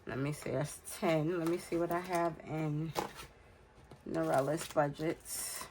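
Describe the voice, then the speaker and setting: Slow, low-voiced woman with a stuffy nose. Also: fairly even intonation, background noise. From United States. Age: 40s. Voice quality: nasal. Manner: unhurried, thinking aloud, counting under her breath. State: congested from a cold. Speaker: budgeting vlogger. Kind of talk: casual talk while filling budget challenges.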